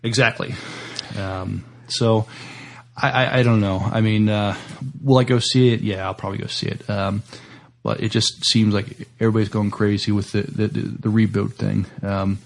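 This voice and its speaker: An American man co-hosting a casual entertainment news chat, with a halting delivery and frequent repeated words. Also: fairly low pitch, fairly even intonation, gravelly. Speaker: podcast co-host.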